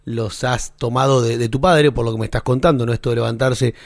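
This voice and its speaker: A man with an Argentine Spanish accent hosting a radio interview, chatty and warm, often repeating words as he goes. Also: medium pitch, fairly even intonation, a little fast, dry recording, studio quality. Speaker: radio host.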